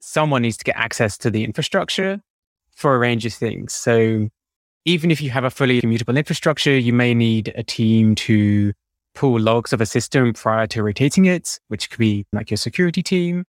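British man, medium-pitched, dry sound. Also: animated, moderate pace, no room sound. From United Kingdom. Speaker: podcast guest, DevOps engineer. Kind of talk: conversational technical explanation.

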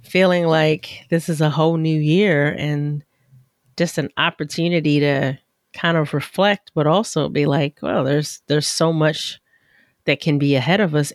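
A woman with a low voice hosts a podcast in lively, casual chat. The recording is dry and close to the mic. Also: unhurried, no echo. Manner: upbeat, lively and conversational. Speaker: podcast host.

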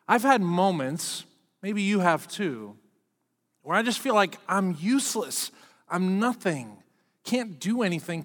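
A man's voice: high-pitched, fairly bright tone, big-room sound. A middle-aged man preaching a sermon as pastor, candid and self-deprecating in a conversational way.

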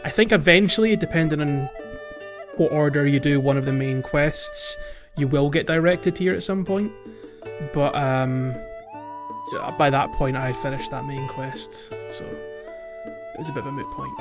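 Higher-voiced man chatting on a gaming podcast. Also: huge pitch swings, moderate pace. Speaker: podcast host. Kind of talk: casual gaming podcast chat. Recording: slightly muffled audio. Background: faint music.

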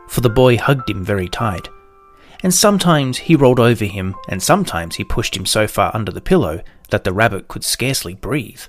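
A man reading a scripted children's story as its narrator. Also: medium pitch.

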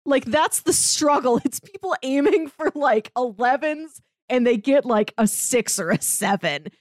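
A woman's voice: high voice, animated, unhurried, no echo, studio quality. A woman co-hosting a podcast, chatting casually about a TV series, often agreeing and using "like" as filler. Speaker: podcast co-host. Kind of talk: casual TV recap chat.

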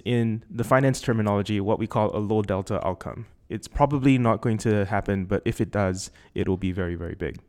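A man with a Singaporean accent hosting a tech podcast, reading a measured, articulate prepared introduction. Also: darkish tone. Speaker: podcast host. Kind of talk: scripted intro then casual interview. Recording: lower quality recording outside a studio.

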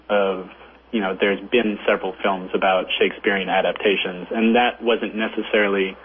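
Low-voiced man on a tinny phone line. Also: slight background noise. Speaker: film director, interview guest. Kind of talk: conversational interview answers. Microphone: phone call.

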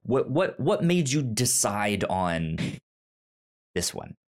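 Halting, casual male podcast host. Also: lowish voice, sing-song. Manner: halting, stammering over words. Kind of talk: casual chat about manga.

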